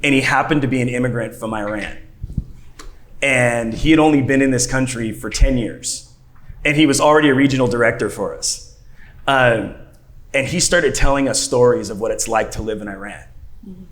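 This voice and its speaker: Animated male panelist telling a story. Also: mid-range voice, normal speed. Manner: animated storyteller, shifting pace. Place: conference room with audience.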